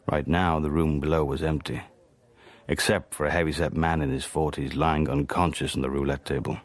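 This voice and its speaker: An actor playing a world-weary, sardonic Cold War spy, narrating a radio drama in a dry, understated manner. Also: male voice, rumbling low, flat delivery, moderate pace. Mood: brooding, wry. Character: wry, world-weary Cold War secret agent, insubordinate.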